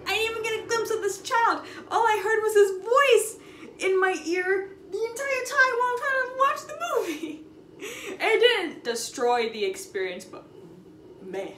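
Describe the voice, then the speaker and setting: A young woman telling a casual story in an expressive, slangy way, with dramatic emphasis and repetition. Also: very high voice. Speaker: storytime video host. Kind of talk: casual animated storytelling vlog. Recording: echoey room with audible background noise.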